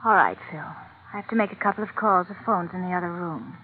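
Actress as a seductive femme fatale, tinny radio. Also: female voice, lowish voice, brisk, lightly acted. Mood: resigned. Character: seductive, vain femme fatale, needy and evasive. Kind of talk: old-time radio noir acting. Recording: noisy tinny vintage radio recording.

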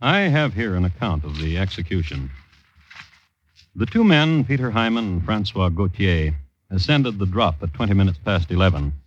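Dry, animated crime chronicler. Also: male voice, low-pitched. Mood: dry, wry. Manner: measured, wry, formal storytelling. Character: dry, precise male crime-story chronicler and host. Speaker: host narrator of radio crime series. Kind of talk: 1950s radio scripted narration. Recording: dull old radio recording.

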